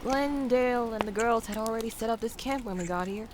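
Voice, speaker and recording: A woman plays a plain-spoken survivor in a comic dub drama. Her voice is fairly high, and there is clear ambient noise behind it. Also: a little fast, lightly acted. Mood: matter-of-fact. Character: plain-spoken female survivor recalling arriving at camp.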